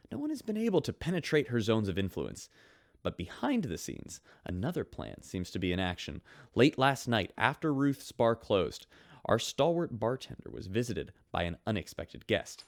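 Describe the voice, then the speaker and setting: A man's voice: fairly low pitch, dry recording, studio quality. A man as a chatty, upbeat radio show host. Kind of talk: scripted radio drama host.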